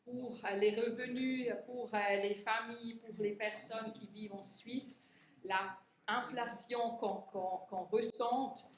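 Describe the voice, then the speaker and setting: A middle-aged woman from Switzerland giving a talk in French, which is not her first language, hesitating often with fillers and restarts. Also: medium pitch. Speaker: conference speaker. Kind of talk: lecture to union retirees. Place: conference hall. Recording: echoey hall, faint room noise.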